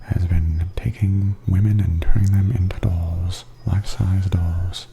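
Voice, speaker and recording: Very deep, hushed, slow-talking man. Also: soft background music. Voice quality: soft, breathy, half-whispered. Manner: calm, unhurried, gently descriptive. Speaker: ASMR video host and tour narrator. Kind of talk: softly spoken ASMR tour commentary.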